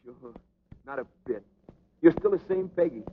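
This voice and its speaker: An actor playing an earnest young male boxer who speaks plain street slang in a hushed, sincere way, in 1940s film style. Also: a little high, animated, moderate pace, a little hiss or hum. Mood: tender. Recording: dull old film soundtrack with music.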